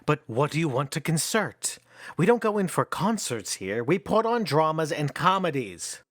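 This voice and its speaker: An actor playing an impatient, skeptical theater company manager in a radio stage play, with a brusque, exasperated delivery. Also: male voice, a little high, sing-song, moderate pace, a bit bright, no echo.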